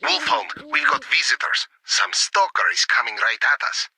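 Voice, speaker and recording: A man's voice: medium pitch, big pitch swings, moderate pace, crowd noise, close to an everyday voice, low-quality recording. An actor playing a tense male lookout reporting to his leader in a video game. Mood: alarmed. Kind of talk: scripted video game dialogue.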